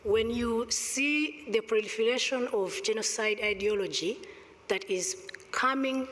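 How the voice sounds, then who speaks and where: A middle-aged woman with a Rwandan accent making emphatic, deliberate panel remarks into a table microphone. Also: on the high side, fairly bright tone. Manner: emphatic, deliberate argument with pointed rhetorical questions. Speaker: conference panelist. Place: large indoor conference hall, on stage. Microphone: gooseneck table microphone. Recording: reverberant conference hall sound.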